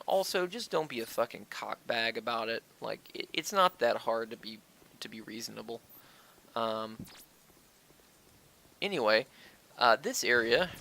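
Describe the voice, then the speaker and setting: A man hosts a casual game playthrough in a bright, forceful voice with plenty of gravelly fry. He wanders off on tangents and swears freely. The audio comes from an old, slightly wonky stand-by microphone. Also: mid-range voice. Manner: rambling tangents, crude swearing. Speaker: gaming video host. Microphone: old stand-by mic.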